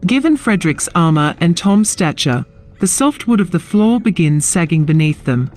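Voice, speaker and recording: A woman's voice: fairly low pitch, expressive, normal speed, darkish tone. A woman narrating a scripted fantasy audio drama in a descriptive storytelling style. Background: music.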